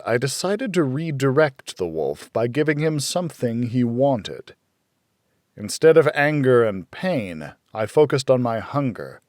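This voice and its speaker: Slow, expressive male audiobook narrator. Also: medium pitch, no room sound, clean studio sound. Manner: deliberate, brooding, dramatic storytelling. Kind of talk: scripted first-person thriller narration.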